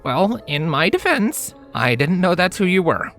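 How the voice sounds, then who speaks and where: A man as a nervous, higher-pitched young unicorn stallion. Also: big pitch swings, measured pace, a bit bright, soft background music, exaggerated voice. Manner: stammering, anxious, earnest. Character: nervous but defiant young male unicorn.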